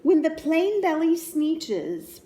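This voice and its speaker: A woman's voice: very high-pitched, sing-song, takes it slowly, warm tone. A woman reading a picture book aloud to children expressively, with chatty asides to young listeners. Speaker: children's story reader, teacher.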